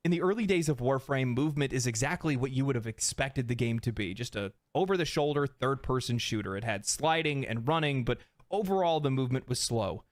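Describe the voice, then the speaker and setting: A man with a medium-pitched voice narrates a scripted video essay. He is earnest and emphatic, and the recording is close and dry. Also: animated, fairly quick, few pauses, dry recording.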